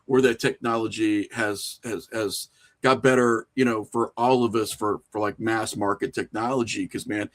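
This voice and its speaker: A middle-aged man chatting casually as a podcast guest, telling animated stories and acting out quoted remarks. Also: fairly low pitch, moderate pace. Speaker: podcast guest, filmmaker and horror creator.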